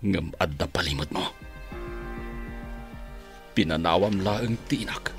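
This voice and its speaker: A man's voice: low-pitched, slow, music in the background. An actor playing a bitter adult son who confronts his father, accusing and emphatic, in a radio drama. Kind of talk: Philippine radio drama acting.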